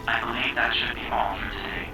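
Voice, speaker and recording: A man's voice: mid-range voice, fairly even intonation, close to an everyday voice. Echoing, distant, polite machine voice acted by a man. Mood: impassive, polite. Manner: formal, measured, mechanical phrasing. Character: impassive, polite computer intelligence running a station. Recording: distant, echoey, under loud music.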